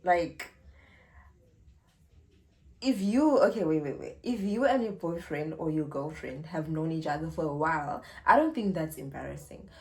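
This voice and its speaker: A woman's voice: sits low, expressive. A young South African woman in her twenties, chatting casually to camera and answering viewer questions with frequent giggles. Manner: chatty and giggly, drawn-out pauses. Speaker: YouTuber. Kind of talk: casual Q&A vlog.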